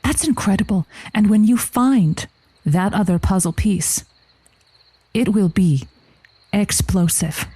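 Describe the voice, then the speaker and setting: A woman's voice: mid-range voice, dark tone, slightly rough, dry recording. A woman playing a suggestive, innuendo-loving radio advice host in a comic audio drama. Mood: suggestive, encouraging. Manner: slow, suggestive delivery. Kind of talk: comic radio show acting.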